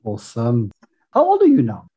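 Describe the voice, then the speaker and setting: A man hosting a podcast, chatting casually and reacting with quick, enthusiastic exclamations. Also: medium pitch, huge pitch swings, warm tone, slightly rough. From South Africa. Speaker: podcast host. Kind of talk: casual interview chat.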